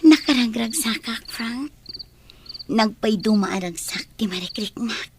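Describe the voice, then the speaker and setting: A woman's voice: fairly high pitch, lively intonation, measured pace, background noise. An actress playing a tender, grateful young wife and mother in a radio drama.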